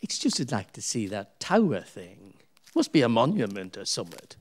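A man's voice: on the low side, very animated, moderate pace, darkish tone, slight background noise. A man playing a jovial, curious older husband in a radio play, chuckling and colloquial. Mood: chatty, nostalgic.

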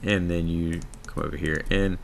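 Low gravelly man rambling through a tutorial. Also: fairly even intonation, moderate pace, a little hiss or hum. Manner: casual, rambling, many fillers and restarts. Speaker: software tutorial instructor. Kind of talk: screen-recorded software tutorial.

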